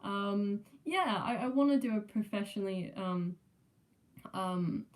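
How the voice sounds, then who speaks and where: A woman's voice: medium pitch, measured pace. Hesitant young woman, mixed southern English accent. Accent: mixed southern English and New Zealand. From England, raised in New Zealand. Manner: hesitant, many ums and restarts. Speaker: musician streamer. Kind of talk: casual live-stream chat. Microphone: no proper microphone.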